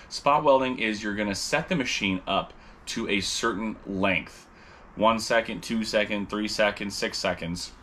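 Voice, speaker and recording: A man's voice: fairly low pitch, fairly bright tone, a little hiss or hum. A man in his thirties explaining welder settings to camera in a casual tutorial manner, recorded in a garage workshop. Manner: plain explanatory, casual fillers. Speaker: welding instructor.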